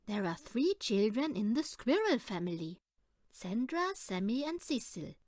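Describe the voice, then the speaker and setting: Female children's audiobook reader, lively swooping pitch, unhurried delivery, close dry sound. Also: no echo. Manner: animated, deliberate storytelling for young children. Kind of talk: expressive read-aloud of a children's picture book.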